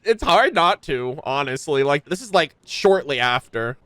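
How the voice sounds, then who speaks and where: Bright, high-voiced man reacting to a game. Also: animated, measured pace, clean tone, no echo. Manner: emotionally invested, informal. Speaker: gaming video host. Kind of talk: casual reaction commentary.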